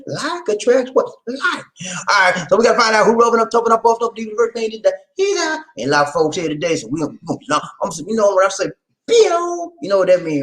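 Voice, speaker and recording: Lively Charleston man hosting a livestream. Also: high voice, poor audio. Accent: Gullah Geechee, South Carolina Lowcountry. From Charleston, South Carolina. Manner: lively, preachy, laughs often, talks to viewers as y'all. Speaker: livestream host. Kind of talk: casual livestream chat.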